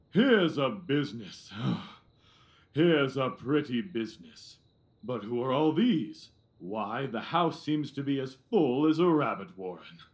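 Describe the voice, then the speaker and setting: A man's voice: mid-range voice, sing-song. A man playing a pompous, self-satisfied middle-aged police detective in an audio drama, blustering and condescending with emphatic repetitions. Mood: flustered, grumbling. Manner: blustering, condescending, repeats himself, emphatic pauses.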